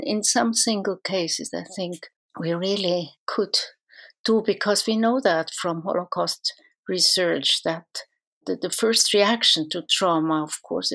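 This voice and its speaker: A woman's voice: fairly low pitch, a bit bright. A middle-aged woman from Switzerland with a Swiss accent, a psychoanalyst answering interview questions in a thoughtful, earnest way with frequent restarts.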